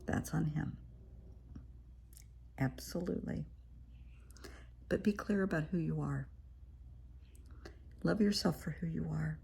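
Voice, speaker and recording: Woman therapist, raspy and croaky from a cold, dark husky tone, frank and encouraging. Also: deep voice, slow pace, long pauses. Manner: frank, encouraging, speaks straight to the viewer. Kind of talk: casual direct-to-camera advice talk. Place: her living room.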